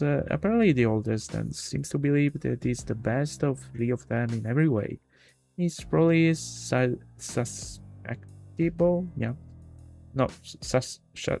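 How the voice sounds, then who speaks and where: Halting male game streamer reading aloud. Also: medium pitch, gravelly, a little mellow. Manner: halting, stumbles and repeats words while reading. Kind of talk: reading visual novel aloud with casual commentary. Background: game music.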